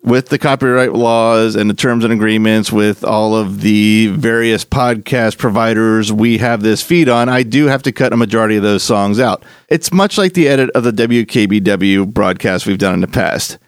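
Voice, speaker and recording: A man's voice: fairly low pitch, normal speed, hardly any pauses, clear, resonant tone, no echo, studio quality. A man hosting a podcast, giving an explanatory spoken introduction. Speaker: podcast host.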